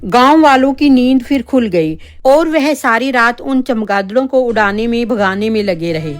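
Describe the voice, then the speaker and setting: A woman narrating a scripted spooky tale for children as a storyteller. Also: on the high side. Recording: background music under the voice.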